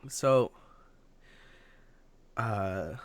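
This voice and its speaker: A young man co-hosting a podcast, telling a casual story to friends in a halting, stop-and-start way. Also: fairly low pitch, expressive, no echo. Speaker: podcast co-host.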